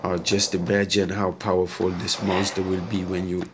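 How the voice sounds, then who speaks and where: A man from Sweden with a Swedish accent, casually commenting on a mobile game he is playing in halting, enthusiastic English full of fillers. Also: low voice, little pitch movement. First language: Swedish or Hungarian. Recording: loud background noise.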